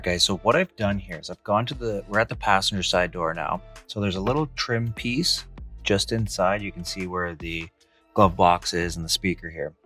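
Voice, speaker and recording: A man's voice: sits low, a bit bright. A man in his thirties explaining a hands-on install step by step in a casual, plain-spoken way. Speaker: host of a DIY Jeep how-to channel. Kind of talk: casual step-by-step tutorial narration. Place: indoor garage with high ceiling, in and around a car.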